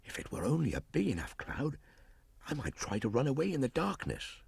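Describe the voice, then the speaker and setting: A man's voice: mid-range voice, huge pitch swings, brisk, gravelly, husky, no room sound, pitched above the performer's own voice, exaggerated voice. Man voicing a weary, wistful young boy. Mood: weary, scheming. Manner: quiet, musing.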